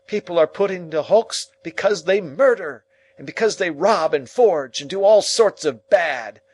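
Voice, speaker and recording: Man putting on a shrill scolding sister. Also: very animated, slow, breathy edge, exaggerated voice. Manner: snappish, scolding, loud. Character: shrill scolding sharp-tongued older sister.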